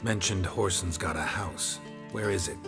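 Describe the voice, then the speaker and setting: Terse monster-hunting mercenary, very low pitch, stoic, laconic, deadpan, video game hero, music behind. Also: male voice, normal speed, vocal fry. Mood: deadpan, businesslike. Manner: laconic, deadpan, clipped sentences.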